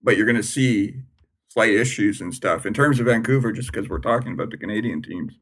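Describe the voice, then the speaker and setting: Low bright-voiced man talking soccer. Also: brisk. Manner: conversational, tags with "right?". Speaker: soccer podcast panelist. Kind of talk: casual chat.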